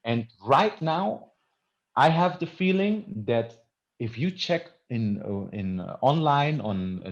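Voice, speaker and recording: A man born in Iran who is more German than Iranian, a non-native English speaker and film director, answering interview questions earnestly and emphatically, with halting restarts. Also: mid-range voice. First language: not English. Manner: earnest, emphatic, halting with repeated word starts. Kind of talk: festival Q&A conversation. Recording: dull, lo-fi audio.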